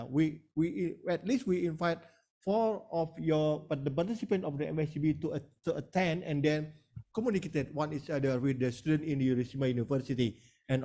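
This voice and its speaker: A man's voice: on the high side, dark tone. A man giving feedback as a panelist in a webinar over a video call, hesitant, repeating words and adding many "yeah"s.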